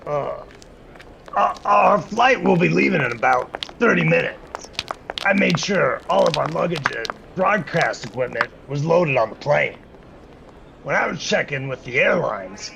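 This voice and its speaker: A man's voice: fairly high pitch, animated, measured pace, gravelly, noisy background, heavily acted. A man playing a dry, organized tour manager in 1940s-style radio comedy. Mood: businesslike.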